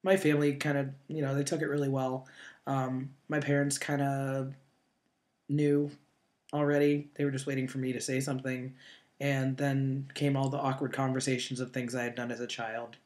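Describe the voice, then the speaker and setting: A man in his late twenties, co-hosting a casual chat and talking in a relaxed way with many fillers and pauses. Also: medium pitch. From northeastern Ohio. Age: 20s. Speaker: co-host.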